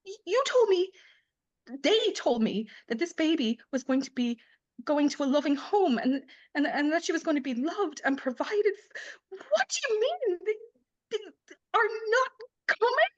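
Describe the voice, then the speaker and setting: A woman playing a sardonic, anguished mother in a dramatic table read, emphatic and halting when upset, on dull lo-fi audio. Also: very high-pitched, sing-song, heavily acted. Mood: panicked. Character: Lily, sardonic young mother, anguished, fiercely emotional. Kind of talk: live screenplay table read, dramatic acting.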